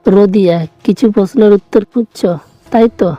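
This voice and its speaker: An actor playing a mysterious, soft-spoken long-lost lover in an audio drama, slow, cryptic and intense. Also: male voice, high voice, muffled recording, over music, natural voice. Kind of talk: audio story drama acting.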